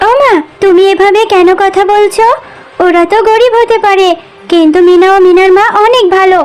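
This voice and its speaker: A woman's voice: extremely high pitch, normal speed. A woman voicing a sweet, eager, earnest little girl in a children's cartoon. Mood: earnest, pleading. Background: music.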